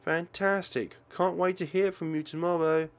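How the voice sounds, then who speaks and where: A man voicing an eager, earnest teenage schoolgirl in a visual novel, with an excitable, insistent manner. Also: a little high, moderate pace, pitched above the performer's own voice, close to an everyday voice. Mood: excited.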